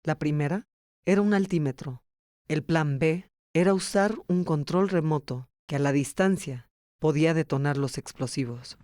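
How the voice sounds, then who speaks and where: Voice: mid-range voice, normal speed, a little mellow, no room sound. A podcast narrator reading a scripted documentary story.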